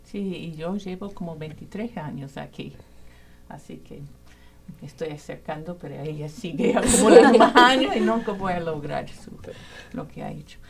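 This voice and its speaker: Low, gravelly female guest, measured and thoughtful. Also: a crowd in the background. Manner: thoughtful, searching for words. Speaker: community center worker. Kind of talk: radio guest conversation.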